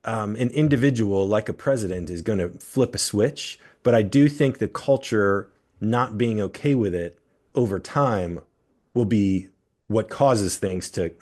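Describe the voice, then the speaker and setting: A man hosting a podcast, explaining his views at length in a measured, steady way. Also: on the low side. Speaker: podcast host. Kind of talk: casual political discussion.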